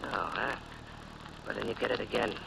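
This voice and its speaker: An actor playing a menacing, brutal killer with gruff, slangy tough-guy talk in a 1940s radio crime drama. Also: on the low side, steady tone, moderate pace. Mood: brutal, threatening. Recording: noisy old 1940s radio recording.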